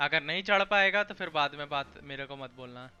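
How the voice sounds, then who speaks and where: A man's voice: fairly high pitch, very fast, pushed and bright, lo-fi sound. A young adult man from India chatting casually as a gaming streamer, informal and mixing in English words. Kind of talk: casual gameplay chat.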